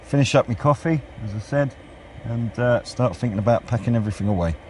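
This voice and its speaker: A British man chatting casually in a vlog, with a rambling, unhurried, low-key way of talking. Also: a little mellow. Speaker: radio hobbyist vlogger. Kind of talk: casual outdoor vlog chat. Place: outdoors on wooded high ground by a road. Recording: outdoor noise with passing vehicles.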